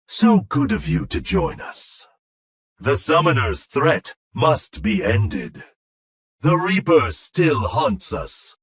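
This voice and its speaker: A solemn mystic guardian for a video game. The husky, breathy voice sits fairly high and moves slowly and formally, ominous and close in a dry sound. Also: male voice, a bit bright, no room sound, full character voice. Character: solemn, mystical monk guardian speaking in riddles. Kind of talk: video game character voice lines.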